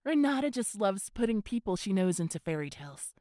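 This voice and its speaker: A woman playing an affectionate mother in an audio drama, tender and gently teasing. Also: mid-range voice, sing-song, brisk, no room sound, clean studio sound, natural voice. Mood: tender, playful.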